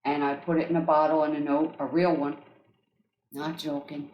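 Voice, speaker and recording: A woman with an accent, an energy healer speaking gently and often checking in with her listeners as she describes guided healing tracks. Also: sits low, flat delivery, moderate pace, muffled recording. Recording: echoey room.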